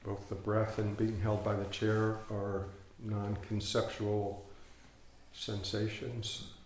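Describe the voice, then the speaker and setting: A man teaching a guided meditation, giving hushed, unhurried instructions with long pauses. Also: fairly low pitch, little pitch movement. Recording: roomy echo, faint background noise.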